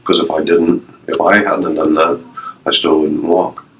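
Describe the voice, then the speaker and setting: A man's voice: a bass voice, level tone, unhurried, highs cut off. A man in his fifties, a retired Navy veteran being interviewed, sharing personal stories and life advice earnestly in short, emphatic, repeated phrases. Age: 50s.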